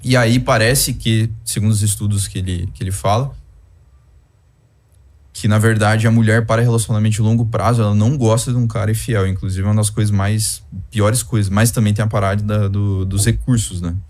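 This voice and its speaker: A man thinks aloud in a relaxed, hesitant way, using many fillers. His voice sits on the low side. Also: fairly quick. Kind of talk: casual discussion.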